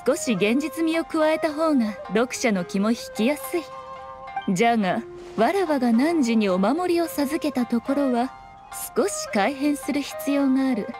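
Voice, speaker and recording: Fox shrine maiden, high voice, lofty archaic phrasing, self-assured, teasing, game dialogue, faint music. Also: female voice. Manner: archaic, lofty, unhurried speech with teasing composure. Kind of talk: Japanese game voice acting.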